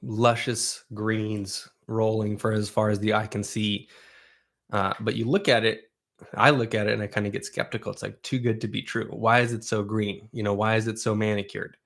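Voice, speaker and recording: Man, low voice, livestream host, casual rambling talk, first language Russian, home desk mic. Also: little pitch movement, moderate pace, gravelly, fairly bright tone. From Southern Oregon, USA. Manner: rambling, conversational, with frequent restarts. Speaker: livestream host answering viewer questions. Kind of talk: casual chat.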